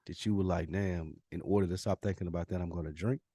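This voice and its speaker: Low-voiced man who talks fast, a casual podcast co-host who probes and jokes. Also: fairly even intonation, mellow, dry recording, clean studio sound. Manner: probing, joking, slangy. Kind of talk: casual conversation.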